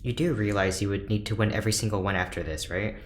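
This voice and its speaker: A man's voice: fairly low pitch, fast talker, close to an everyday voice. A man playing an earnest, guilt-ridden doctor in an audio drama, speaking in a serious, slightly formal and literal way. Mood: earnest, matter-of-fact. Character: stiff, earnest, guilt-ridden scientist doctor, socially awkward. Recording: roomy echo, background noise.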